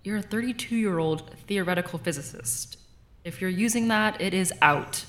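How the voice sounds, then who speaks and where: An actress playing a dry, teasing expectant mother in an audio drama, with deadpan delivery. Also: medium pitch, normal speed, lightly acted. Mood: teasing, affectionate.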